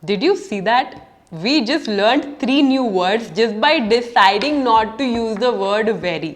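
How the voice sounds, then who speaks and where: A young woman from India with an Indian English accent and Urdu as her first language, giving a scripted English lesson in a lively, emphatic and playful way. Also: on the high side, moderate pace. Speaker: English teacher and video host. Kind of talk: scripted educational vlog.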